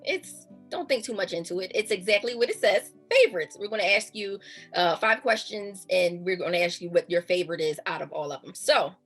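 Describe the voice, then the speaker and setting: A woman co-hosting an interview, asking upbeat questions and running a quick game. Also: on the low side, expressive, a bit bright, slightly rough, faint music behind. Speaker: interviewer, co-host.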